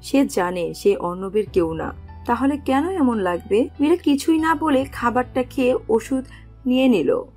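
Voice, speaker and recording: A woman's voice: sits high, expressive, a little slow, darkish tone, husky. A woman reading scripted narration for a romantic story as its narrator. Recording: music under the voice.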